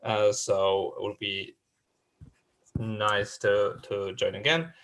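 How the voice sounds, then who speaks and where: A man's voice: on the low side, little pitch movement, takes it slowly, a bit bright. A man introducing himself as a board candidate, hesitant and full of fillers.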